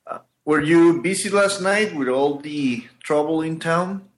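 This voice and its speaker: A man playing a tabletop game, speaking slowly and hesitantly. He sounds careful and cautious. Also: fairly high pitch, slight background noise. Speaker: player. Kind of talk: casual tabletop play.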